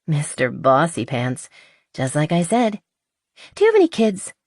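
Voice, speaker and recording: A woman's voice: low-pitched, wildly swooping pitch, unhurried, darkish tone, dry recording, studio quality, full character voice. A woman voicing a playful, chatty, impulsive young woman who is a little tipsy, in a romance audiobook.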